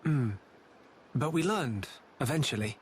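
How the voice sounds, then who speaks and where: A man's voice: mid-range voice, animated, measured pace, close to an everyday voice. An actor playing an earnest, thoughtful young male hero in a video game, speaking sincerely. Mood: reflective. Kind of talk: video game cutscene acting. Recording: music with strings behind the voice.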